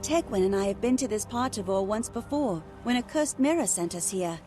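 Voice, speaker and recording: A woman playing an eager, plucky young fantasy adventurer in a video game, expressive and earnest. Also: medium pitch, fairly quick, close to an everyday voice. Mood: wary. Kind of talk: video game cutscene acting. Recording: loud game music behind.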